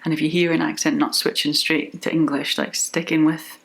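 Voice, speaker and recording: A woman's voice: low voice, level tone, fairly quick, gravelly. A young woman book vlogger chatting casually, with many fillers and self-corrections. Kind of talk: casual chat about books.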